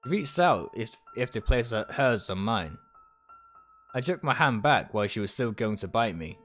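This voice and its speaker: A man's voice: mid-range voice, sing-song, normal speed, fairly bright tone, faint music behind. Man dramatically reading out a visual novel. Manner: stumbles over words and rereads them. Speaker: streamer reading a game aloud. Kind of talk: dramatic read-aloud of visual novel text.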